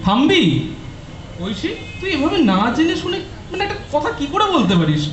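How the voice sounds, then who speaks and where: A man's voice: very high voice, sing-song, normal speed, slight background noise. A man playing a caring, teasing young college student who keeps the peace among friends in an audio drama. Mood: exasperated, scolding. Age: young adult. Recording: dull echoey recording under music.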